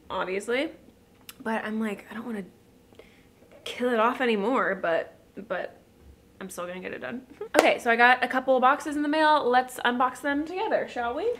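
A young woman vlogging at home, chatting quickly and cheerfully straight to the camera about her day. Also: mid-range voice, fairly bright tone, a little hiss or hum. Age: young adult. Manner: bubbly, fast, talks straight to camera. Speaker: lifestyle vlogger. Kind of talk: casual chatty vlog talk. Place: bright home kitchen and living room.

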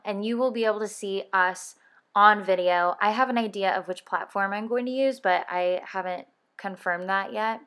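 A woman in her thirties hosting a podcast, speaking at an unhurried pace with an everyday mid pitch. She rambles in a chatty way and corrects herself with a laugh. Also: fairly bright tone. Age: 30s. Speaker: podcast co-host. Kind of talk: casual podcast chat.

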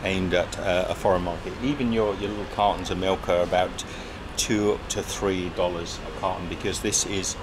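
A man's voice: sits low, fairly even intonation, moderate pace, hardly any pauses. A man in his fifties chatting upbeat while vlogging his travels, filmed on a handheld camera on a sunny street and in a shop. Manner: chatty, upbeat, thinking aloud. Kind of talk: casual travel vlog. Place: outdoors on a sunny street and in a supermarket. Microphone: action camera held at arm's length. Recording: street noise behind.

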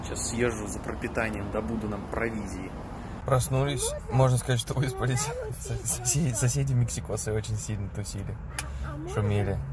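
A young man narrating a casual travel vlog into a phone held at arm's length, talking in a relaxed, slangy way. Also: fairly low pitch, moderate pace, very noisy. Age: young adult. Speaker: travel vlogger. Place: outdoors in a city and on a ferry.

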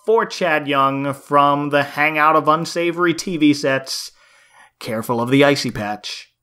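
A man's voice: fairly high pitch, measured pace, sharp tone, clear, resonant tone. A man hosting a podcast in a casual, conversational style. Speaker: podcast host.

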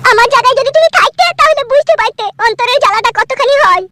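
A woman's voice: extremely high pitch, racing, background noise. A woman voicing an aggrieved, plaintive village wife in a comedy cartoon. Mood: hurt, nagging. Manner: plaintive, nagging.

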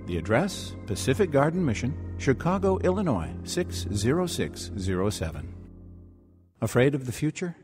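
A man delivering scripted radio ministry announcements and scripture in an earnest, deliberate way. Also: mid-range voice, sing-song, darkish tone, soft background music.

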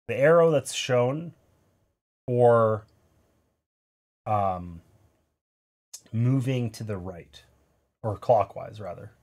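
A man's voice: mid-range voice, darkish tone. Nasal man thinking aloud over a board game. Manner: thinks aloud, explaining each move with wry asides. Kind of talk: casual play-through commentary. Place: indoors at a gaming table.

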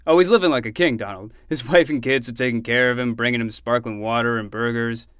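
A man's voice: medium pitch, fast talker, fairly bright tone, clear, resonant tone, no echo, clean studio sound, close to an everyday voice. A man playing an easygoing, protective uncle in a fiction podcast, conversational and matter-of-fact. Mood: casual, wry. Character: easygoing, protective adult uncle, mildly flustered.

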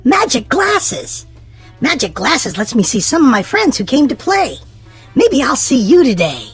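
A woman hosting a children's read-aloud, narrating in an exaggerated, sing-song, expressive way for small children. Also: high-pitched, a little slow, husky, faint music behind. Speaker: host and reader of a children's read-aloud show. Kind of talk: animated children's storybook narration.

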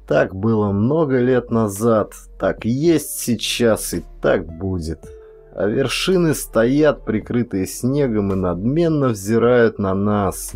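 A man's voice: lowish voice, darkish tone, breathy edge. A man voicing a tender, earnest lover who talks intimately and philosophically in an audiobook. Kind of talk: audiobook character dialogue. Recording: music under the voice.

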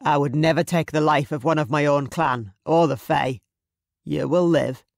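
A narrator acting a forceful, menacing Highland chief. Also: steady tone, moderate pace, creaky, no room sound, clean studio sound, natural voice. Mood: imperious. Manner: imperious, threatening, archaic phrasing. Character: menacing Highland warrior chief, commanding middle-aged man.

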